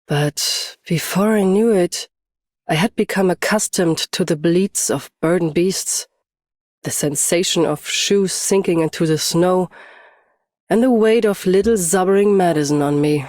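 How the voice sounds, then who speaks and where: A woman's voice: fairly low pitch, unhurried, a little mellow, dry recording, clean studio sound, natural voice. A woman playing a stoic, battle-hardened champion swordswoman and bodyguard in a video game, restrained and commanding. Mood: cool, reflective. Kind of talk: scripted game character voice lines.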